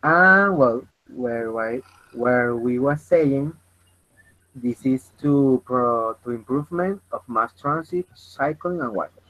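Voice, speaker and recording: A man in his twenties with a Venezuelan Spanish accent, leading an online English practice chat in halting, restarting English. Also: mid-range voice, animated, fairly bright tone. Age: 20s. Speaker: chat moderator. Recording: dull lo-fi voice call with faint noise.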